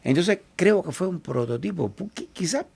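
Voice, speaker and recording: A man from Cuba with a Cuban Spanish accent, a salsa singer talking as an interview guest and telling animated stories, often quoting other people. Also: sits high, moderate pace, gravelly, breathy edge. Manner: animated, quotes others in lively asides. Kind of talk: casual interview storytelling.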